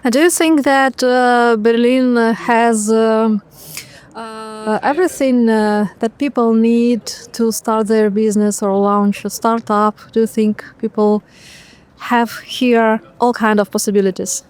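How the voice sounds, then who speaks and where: A woman's voice: on the high side, steady tone, a little slow, darkish tone, clear, resonant tone. A young woman from Ukraine hosting an interview, speaking English as a with hesitant phrasing and fillers. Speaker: interviewer and channel host.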